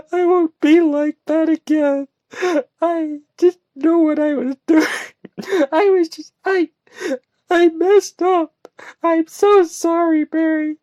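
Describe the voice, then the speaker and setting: A shrill, tearful young woman. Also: dark tone, no room sound, highs cut off. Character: distraught young woman, volatile and pleading.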